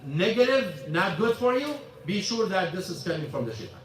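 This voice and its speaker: An imam with high voice, echoey hall. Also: male voice, big pitch swings, brisk, slight background noise. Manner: non-native English with broken grammar, repeats himself. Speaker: imam teaching a class. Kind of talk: informal religious lesson. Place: mosque hall. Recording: echoey mosque hall, mic from far off.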